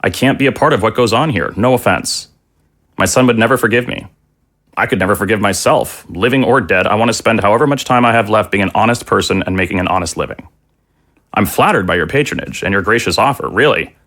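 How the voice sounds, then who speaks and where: Man playing an anxious, low-voiced father. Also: quick pace, a bit bright, lightly acted. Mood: earnest refusal. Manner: hesitant, pleading, sincere. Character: earnest, anxious zombie father, humble and principled. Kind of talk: audio drama acting.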